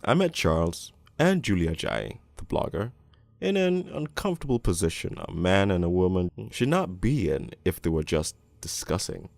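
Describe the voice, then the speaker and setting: A man playing a crude, cynical police detective, narrating a crime storybook in the first person in a gravelly, world-weary, deliberate way. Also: sits low, very animated, a little mellow, no echo, heavily acted. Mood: bitter. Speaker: narrator and lead voice actor in an animated storybook.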